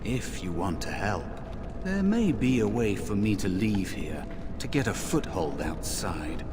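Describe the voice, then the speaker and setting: A man's voice: fairly low pitch, very animated, a little slow. A man playing an earnest, furtive young apprentice mage in a fantasy video game, with a dramatic, imploring delivery. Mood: earnest, coaxing. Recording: long reverb, clear background noise.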